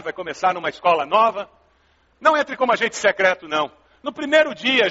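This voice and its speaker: Male pastor, Brazilian Portuguese accent, husky voice, emphatic teaching sermon, dull muffled recording. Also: sits high, bright, forceful tone. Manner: emphatic, didactic, with rhetorical questions and repetition. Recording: dull, reverberant large-hall recording.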